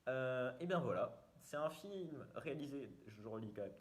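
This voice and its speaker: A man's voice: medium pitch, lively intonation, moderate pace. Rambling jokey young guy. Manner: rambling, jokey, lots of fillers and restarts. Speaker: YouTuber. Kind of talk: casual self-mocking vlog.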